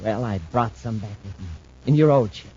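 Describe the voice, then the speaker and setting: An actor playing a weakened, obsessed spacer in a 1950s radio drama, brooding and intense. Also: male voice, fairly low pitch, normal speed, darkish tone, a little hiss or hum, lightly acted. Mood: feverish, driven. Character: thin, weakened, obsessed male spacer seeking his old partner. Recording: muffled old-time radio recording.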